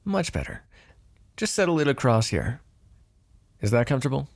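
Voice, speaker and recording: Man acting as smug male vampire, ASMR roleplay, gravelly, swooping intonation, sardonic, flirtatious. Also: mid-range voice, sing-song, moderate pace, no room sound, studio quality. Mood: impatient, flirtatious. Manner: sardonic, flirtatious, swearing, sighing. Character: smug, teasing male vampire, possessive but fond.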